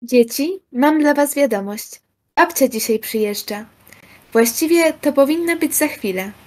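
Highish-voiced young woman as a gentle mother. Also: expressive, measured pace. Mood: announcing. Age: young adult. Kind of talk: amateur school audio drama.

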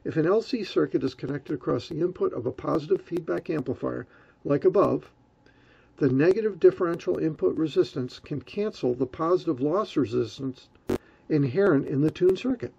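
A man's voice: a little high, expressive, normal speed, gravelly, dark tone, slightly rough, dull audio. A man giving a technical explanation in the role of an explainer.

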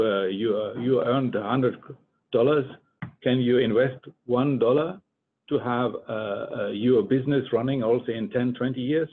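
An older man from Germany gives a research presentation in English as a scientist, halting and deliberate. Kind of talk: conference presentation. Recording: muffled phone-like line, rumbling noise.

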